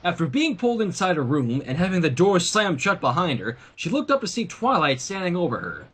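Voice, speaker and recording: A man reading a story aloud in an expressive narrating style, with casual asides. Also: high voice, a little fast, breathy edge. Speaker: fan fiction reader.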